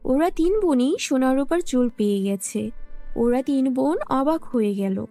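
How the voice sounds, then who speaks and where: Woman telling a kids fairy tale, high voice, bit of music underneath. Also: expressive, unhurried, warm tone. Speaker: storyteller. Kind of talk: scripted children's fairy tale narration.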